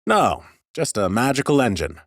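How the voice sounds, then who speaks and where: A man voicing an ancient lich scholar in an audiobook, wry, measured and pedantic. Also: mid-range voice, very animated, fairly bright tone, dry recording, clean studio sound, voice pushed up. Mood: explaining, polite. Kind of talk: character acting in an audiobook.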